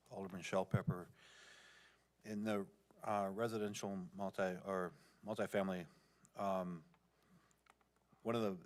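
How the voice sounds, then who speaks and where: An American man giving formal remarks as a city council member, in deliberate and halting phrases. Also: sits low.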